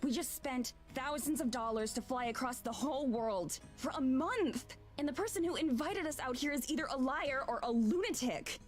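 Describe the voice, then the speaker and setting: An exasperated, blunt young woman, higher voice. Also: lively intonation, normal speed, few pauses, faint music behind. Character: frustrated, skeptical young woman traveler in a visual novel. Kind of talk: visual novel voice acting.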